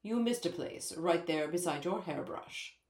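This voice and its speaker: A woman's voice: fairly low pitch, lively intonation, measured pace, darkish tone. A woman voicing a tiny, fussy, pompous male king who speaks in a stern, formal, lecturing way. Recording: roomy echo.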